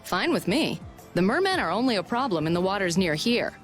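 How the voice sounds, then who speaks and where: A woman playing a shrewd, confident merchant guild president in a video game, brisk and polished in delivery. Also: medium pitch, sing-song, soft background music, lightly acted. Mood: persuasive, confident. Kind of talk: video game dub acting.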